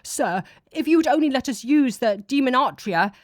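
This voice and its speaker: A woman's voice: high voice, expressive, moderate pace, dry recording, studio quality, voice pushed up. A woman voicing an alien military commander in an audiobook, urgent and deferential toward a master. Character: alien soldier commander, pleading deferentially with its god. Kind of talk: dramatic audiobook character voice.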